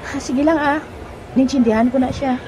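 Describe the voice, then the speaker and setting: A chatty young woman who giggles often and frets over her boyfriend, played by a woman. Her voice is high. The recording carries a clear hiss throughout. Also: fairly even intonation, normal speed, natural voice. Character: cheerful young woman, lovesick girlfriend. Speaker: character in an audio drama. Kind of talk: amateur audio drama acting.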